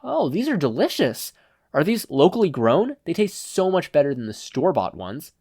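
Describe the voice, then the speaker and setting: A narrator voicing a teenage boy hero who is cocky and playful but gently reassuring, with a casual, earnest manner. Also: mid-range voice, very animated, moderate pace, husky, no room sound, studio quality, pitched above the performer's own voice. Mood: cheeky, nonchalant. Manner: casual, nonchalant, softening to earnest.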